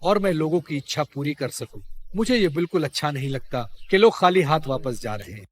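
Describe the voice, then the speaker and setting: A man's voice: a little high, expressive, fairly quick, natural voice. A man voicing a kind, sorrowful magical tree that pleads earnestly in a children's story. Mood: pleading, sorrowful.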